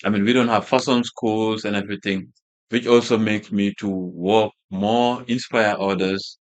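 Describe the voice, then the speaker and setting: A man's voice: lowish voice, fairly even intonation, normal speed. A man from Gambia whose first language is Wolof. He is a fashion brand founder talking as a guest in a casual interview, with many fillers and restarts.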